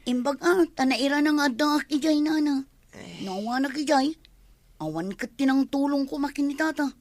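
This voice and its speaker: A woman's voice: high-pitched, normal speed, a little mellow, natural voice. An actress playing an earnest, helpful young village woman in a radio drama, respectful toward her elders. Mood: relieved.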